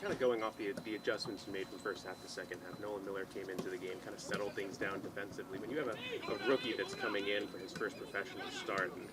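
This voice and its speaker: A man's voice: fairly low pitch, fairly even intonation, quick pace, hardly any pauses, very noisy. A male sports reporter asking a long press question in loose, casual phrasing.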